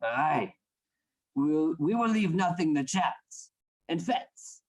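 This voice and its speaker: A man acts out a domineering anatomy assistant in a Victorian horror radio play. His voice is rough and husky, with big swings in pitch. He speaks in formal, theatrical commands, scheming and controlling. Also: expressive, slow pace, heavily acted, poor audio. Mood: cold, controlling. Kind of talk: live radio play acting.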